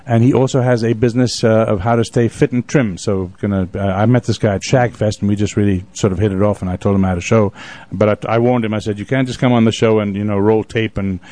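A man's voice: lowish voice, steady tone, fast talker, warm tone. An older man from South Africa hosting a casual radio conversation, rambling and joking, often repeating his words. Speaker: radio show host.

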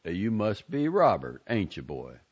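A man's voice: fairly low pitch, wildly swooping pitch, unhurried, husky, pitched above the performer's own voice, full character voice. A man voicing a drowsy, middle-aged gate guard with a folksy drawl. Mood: gruff. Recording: muffled lo-fi recording.

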